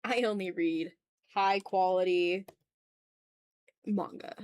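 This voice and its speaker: A woman's voice: on the low side, fairly even intonation, takes it slowly, darkish tone, smooth, dry recording. A woman chatting informally on a livestream while she draws, muttering asides to herself as she works. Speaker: art streamer. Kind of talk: casual livestream chat while drawing.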